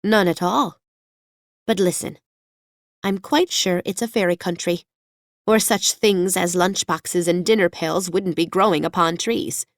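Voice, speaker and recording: A woman's voice: on the low side, fairly even intonation, measured pace, no echo. A chatty, plucky little girl from a storybook, acted by a woman. She talks earnestly and confidently, with folksy childlike turns of phrase. The recording is dry and close. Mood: chatty, sure. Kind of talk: audiobook character voice.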